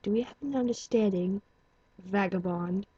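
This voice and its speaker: A woman's voice: mid-range voice, dark tone, husky, no echo, highs cut off. A woman doing a stern older stallion doctor. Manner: measured, cool and stern. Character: older male pony doctor, taciturn and protective.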